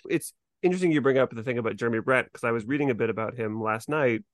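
Fast-talking man co-hosting a podcast. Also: mid-range voice, no room sound. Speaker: podcast co-host. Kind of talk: casual podcast discussion.

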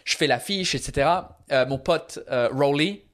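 A man's voice: mid-range voice, moderate pace, fairly bright tone. A man in his thirties, a comedian speaking a language that is not his first, answering interview questions casually with many fillers and restarts. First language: not French. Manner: hesitant, many fillers and restarts, drops English phrases. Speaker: stand-up comedian, guest.

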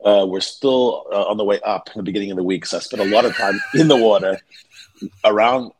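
A man co-hosting a rugby podcast who is hoarse from losing his voice, talking in a wry, joking way with halting fillers. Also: lowish voice, background noise. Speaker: podcast co-host. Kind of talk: casual podcast chat.

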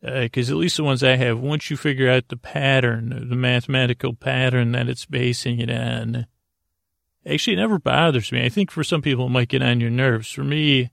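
A man with a gravelly, creaky voice hosting a sleep podcast, rambling in a halting, whimsical way with silly asides and self-interruptions. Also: medium pitch, fairly even intonation, fairly bright tone, no echo, studio quality. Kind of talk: rambling, whimsical bedtime monologue.

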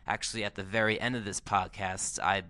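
Low-voiced American man hosting a podcast. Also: little pitch movement, fairly quick, pushed and bright, dry recording. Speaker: podcast host, music journalist. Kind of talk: casual podcast introduction and interview.

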